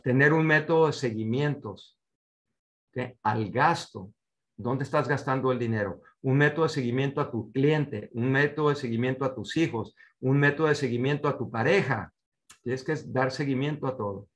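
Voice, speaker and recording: Older man, medium pitch, finance coach, instructive, direct, short commands, rhetorical questions, online seminar on a computer mic. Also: normal speed, fairly bright tone. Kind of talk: online seminar teaching with slides. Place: indoor room at home, on a video call.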